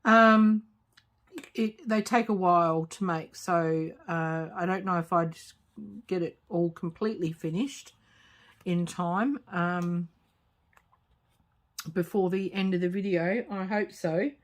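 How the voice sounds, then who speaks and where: A woman with a slightly croaky voice, hosting a casual craft tutorial at home and talking hesitantly with many fillers. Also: lowish voice, steady tone, slow pace. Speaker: crafting channel host. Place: home craft table, late at night.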